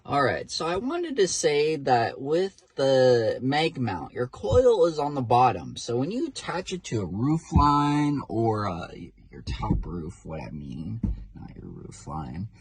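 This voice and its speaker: Man, slow unhurried delivery, informal rambling hobbyist demo with filler words. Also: mid-range voice, wildly swooping pitch, breathy edge. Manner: informal, rambling, many "you know" fillers; admits to nervousness. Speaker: hobbyist radio reviewer. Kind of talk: casual show-and-tell explanation.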